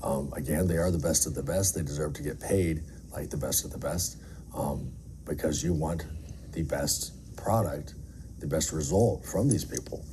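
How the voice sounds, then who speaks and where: A middle-aged man with an extremely low voice answers interview questions candidly. He is earnest and plain-spoken, stressing his points. There is faint noise and room ambience behind him. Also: normal speed, mellow, low-quality recording. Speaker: air traffic controller being interviewed.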